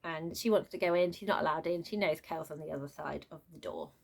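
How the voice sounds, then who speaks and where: A woman giving an unscripted book review as a rant, with an animated, sarcastic way of talking. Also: deep voice, a little fast, vocal fry. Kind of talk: unscripted book rant with read-aloud excerpts.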